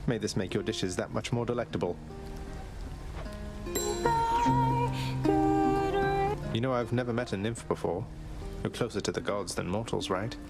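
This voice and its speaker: A polite, rebellious young prince in a video game. His voice is very low, with a wry, understated earnestness. Loud music plays behind him. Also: steady tone, fast, gravelly. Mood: wry, friendly.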